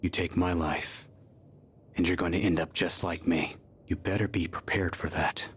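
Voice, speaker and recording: An actor playing a gruff, guilt-ridden young tough guy in a video game, with blunt and weary speech. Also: sits low, level tone, moderate pace, slight background noise, close to an everyday voice. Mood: weary, resigned. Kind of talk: English video game voice acting. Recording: music under the voice.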